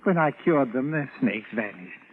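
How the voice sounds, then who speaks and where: An actor playing a courteous, condescending psychiatrist in old-time radio drama style, pedantic and deliberate, with fussy hesitations. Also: male voice, medium pitch, animated, slightly rough. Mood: condescending, calm. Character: smug, unshakeable Martian psychiatrist, courteous and condescending. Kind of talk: 1940s-50s radio drama acting. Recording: noisy, tinny old radio recording.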